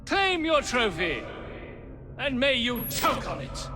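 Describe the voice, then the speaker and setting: A pompous British lord and army officer, pitched very high and swooping grandly. He declaims slowly and melodramatically, like a wounded hero of a game cutscene making his final speech. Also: huge pitch swings, music in the background, full character voice. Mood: grandiose outrage. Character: pompous, wounded aristocratic old army officer, theatrically dying. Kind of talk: theatrical video game acting.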